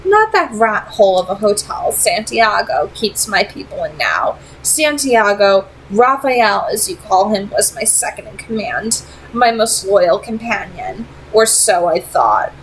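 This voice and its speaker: A woman's voice: medium pitch, lively intonation, slight background noise, poor audio. A woman voicing a proud, secretive female vampire who leads a clan and speaks formally and persuasively in a read-aloud story. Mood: bitter. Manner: formal, deliberate, dramatic phrasing.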